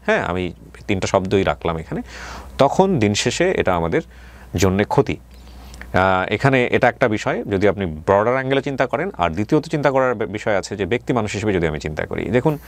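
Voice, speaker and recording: A man's voice: on the low side, dry recording. A man with a Bangladeshi accent hosting a radio interview, earnest and probing, explaining at length and mixing in English words. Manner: mixes English words into his speech. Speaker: radio show host.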